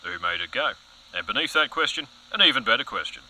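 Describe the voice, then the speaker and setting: A man's voice: medium pitch, big pitch swings, quick pace, pushed and bright, rough recording. A man playing a pompous, overzealous TV news anchor in an audio comedy, earnest and dramatic. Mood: dramatic, grave.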